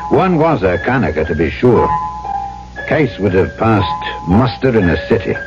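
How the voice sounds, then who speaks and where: An actor playing an earnest, courteous trader and narrator in an old-time radio drama, with a polite, measured delivery. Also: male voice, lowish voice, very animated. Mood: reflective, grim. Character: earnest, courteous male trader newly arrived on island, narrator. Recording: dull old radio recording, music behind.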